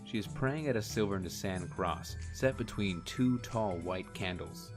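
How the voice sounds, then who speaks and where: A man narrating a fan radio drama in a steady, descriptive way. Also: on the low side, measured pace. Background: music.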